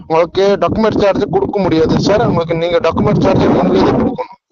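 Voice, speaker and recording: A man with a high voice speaks quickly as a loan agent on the phone. He keeps addressing the other person as sir. The recorded call sounds muffled and lo-fi. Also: fairly even intonation, very noisy. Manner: repeatedly addresses listener as sir. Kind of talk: phone sales pitch turning argumentative.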